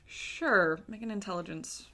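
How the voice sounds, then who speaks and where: A woman narrating a tabletop game as game master, conversational and pausing to search for words. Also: mid-range voice, sharp tone, slight background noise.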